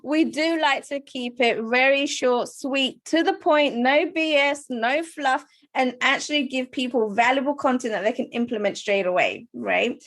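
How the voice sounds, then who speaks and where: A woman hosting a business podcast, upbeat and emphatic with quick asides. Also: high-pitched, a bit bright, no room sound. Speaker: podcast host. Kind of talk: podcast interview.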